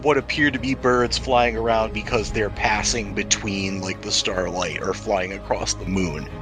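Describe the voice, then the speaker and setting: A man's voice: on the low side, fairly even intonation, moderate pace. A man acting as game master, describing scenes in a conversational, improvised way for a tabletop role-playing game. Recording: music playing behind the voice.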